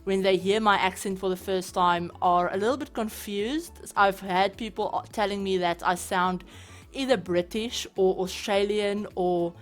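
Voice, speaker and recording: A woman's voice: mid-range voice, measured pace, soft background music. A woman with a South African accent, chatting casually over her gameplay as a channel host, rambling with lots of fillers. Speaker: game building channel host.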